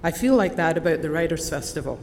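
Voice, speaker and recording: A woman in her sixties with an Edinburgh Scottish accent, giving a formal, measured convocation speech from a podium. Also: low-pitched, expressive. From Scotland. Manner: measured, deliberate, warmly earnest. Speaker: honorary degree recipient giving convocation address. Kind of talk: formal prepared ceremonial speech. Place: large convocation hall. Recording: long hall reverb, faint background noise.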